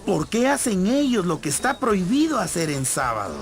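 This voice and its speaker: A man's voice: high-pitched, big pitch swings, normal speed, soft background music. An actor playing a disapproving religious lawman, pointed and accusing, in a dramatized audio Bible. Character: disapproving Pharisee, a teacher of the law.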